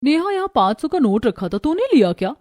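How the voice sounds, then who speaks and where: Woman acting a high, scolding, haughty lady of the house. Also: sing-song, a little fast. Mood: accusing. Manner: sharp-tongued, accusing. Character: haughty, suspicious female employer, scolding and bossy. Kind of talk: dramatized Hindi moral story acting.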